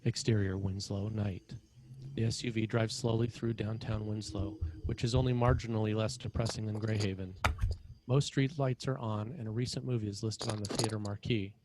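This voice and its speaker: Low-voiced man narrating a screenplay. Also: steady tone, normal speed, background noise. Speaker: narrator of a screenplay table read. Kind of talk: scripted narration, reading scene directions.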